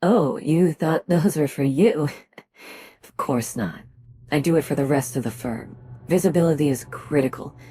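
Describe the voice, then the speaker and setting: A woman's voice: low voice, unhurried, dark tone, breathy edge. A woman acting as a pompous, sarcastic, self-important female company manager in an audio drama. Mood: condescending.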